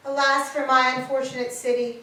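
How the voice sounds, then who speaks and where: Woman as high-voiced mournful captive, echoey hall. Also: level tone, a little fast, pushed and bright. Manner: declamatory, solemn. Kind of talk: staged reading of Greek tragedy. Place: church, live staged reading. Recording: echoey hall, distant mic, background noise.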